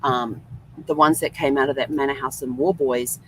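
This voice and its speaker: A woman's voice: rumbling low, fairly even intonation, normal speed, a bit bright, slight background noise, low-quality recording. A woman hosting a vlog, telling a rambling, chatty travel story full of ums. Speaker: host. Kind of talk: casual travel vlog monologue.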